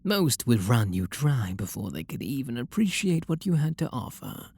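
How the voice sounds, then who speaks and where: Guy doing a british vampire lord lover, gravelly n breathy, tender but possessive, slow murmuring asmr, super close dry sound. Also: mid-range voice, very animated, no echo, heavily acted. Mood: possessive, flirtatious. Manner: soft, slow, seductive murmur with breathy whispers. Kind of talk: intimate ASMR audio roleplay.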